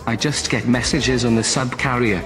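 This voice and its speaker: A testy underworld fence from a science-fiction drama, acted by a man. He is sarcastic and grumbling, with an ordinary male pitch, and music plays clearly behind him. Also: fairly even intonation, moderate pace, natural voice. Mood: defensive. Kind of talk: science-fiction film dialogue.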